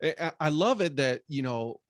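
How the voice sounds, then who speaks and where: A man's voice: on the high side, animated, normal speed, no echo, studio quality. A man from Puerto Rico hosting a podcast, talking casually and leaning heavily on "you know". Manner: filler-heavy, lots of "you know". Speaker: podcast host. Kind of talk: casual conversation.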